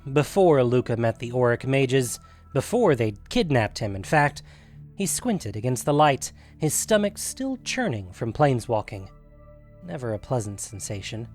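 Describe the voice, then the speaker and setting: A man with a medium-pitched voice narrates a scripted fantasy story for a podcast. Faint music plays behind his reading.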